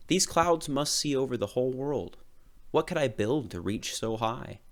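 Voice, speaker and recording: A dreamy, earnest male builder from a storybook, voiced by a man reading aloud. He sounds wondering and sincere, raised above a plain narrating pitch, with lively rises and falls. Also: moderate pace, close to an everyday voice. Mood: hopeful.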